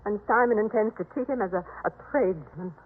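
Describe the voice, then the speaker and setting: An actress playing a gentle, anxious, compassionate wife in a 1950s radio drama, earnest and pleading in manner. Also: female voice, mid-range voice, fast, slightly rough, lightly acted. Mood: troubled. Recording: muffled phone-like 1950s radio recording.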